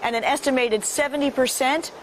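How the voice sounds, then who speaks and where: Female news reporter, fairly high voice, scripted delivery over faint vehicle noise. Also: fairly even intonation, brisk, rough recording. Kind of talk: scripted broadcast news narration.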